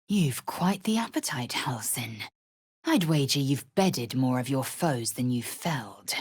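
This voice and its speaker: A woman playing a proud, stern githyanki warrior in a fantasy video game, with a husky rasp and clipped, imperious, formal delivery. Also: very deep voice, animated, a little slow, darkish tone, dry recording, studio quality, heavily acted. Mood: low, seductive.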